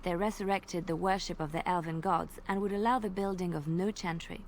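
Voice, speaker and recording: A woman voicing a gentle young woman bard in a video game, telling old tales in a soft, measured and earnest way over faint game ambience. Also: on the low side, steady tone, a little hiss or hum, natural voice. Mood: storytelling. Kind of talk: storytelling in video game dialogue.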